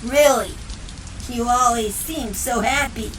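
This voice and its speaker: Excitable female cartoon-style animal, teasing, measured pace, distant noisy recording. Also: fairly high pitch. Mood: excited. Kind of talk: comedic audio drama acting. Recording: distant, noisy, rain in background.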